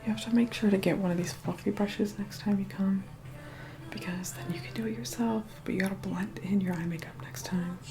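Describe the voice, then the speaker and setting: A woman's voice: medium pitch, steady tone, fairly quick, music in the background, natural voice. A woman playing a snobbish, reluctant young vampire in an ASMR roleplay, whispering in a sarcastic, bossy, condescending way. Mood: snide, grudging.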